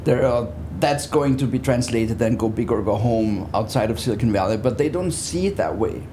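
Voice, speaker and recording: A man's voice: medium pitch, expressive, normal speed, noisy background. A man, talking conversationally as a guest in an interview. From Austria. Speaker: interview guest, business therapist.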